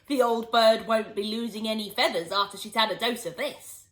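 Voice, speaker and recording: Woman voicing a scheming young boy, gleeful and low-voiced asides. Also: normal speed, a bit bright, natural voice. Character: mischievous boy scheming at grandma.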